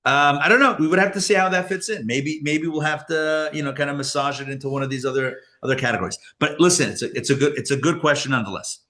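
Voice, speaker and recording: Chuckling rabbi teaching a live class. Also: male voice, on the high side, quick pace, hardly any pauses, bright, forceful tone. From born in Israel, raised elsewhere. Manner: casual, self-interrupting, chuckles often, answers audience questions. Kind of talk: live Torah class, conversational lecturing.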